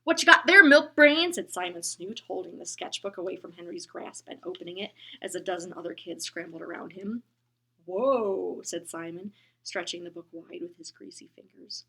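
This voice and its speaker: A woman reading a children's book aloud, gentle and expressive, often dropping into a whisper. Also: on the low side, a little fast, gravelly, breathy edge. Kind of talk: storybook read-aloud.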